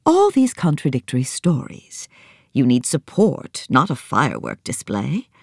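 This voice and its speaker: A wise, protective mother elephant with a very deep voice, as a woman reads her. She speaks slowly and tenderly, with a lot of movement in her tone. The sound is close and dry. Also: huge pitch swings, gravelly, no echo, full character voice.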